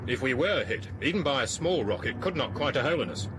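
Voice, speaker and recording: An actor playing an eager, dependable spaceship crewman who loves the stars, earnest and expressive in a 1980s radio drama. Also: medium pitch, fast talker, background noise, natural voice. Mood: matter-of-fact.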